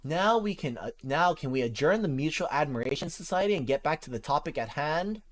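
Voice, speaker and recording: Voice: medium pitch, lively intonation, brisk, voice pushed up, natural voice. A reader voicing a sarcastic, impatient young female gamer.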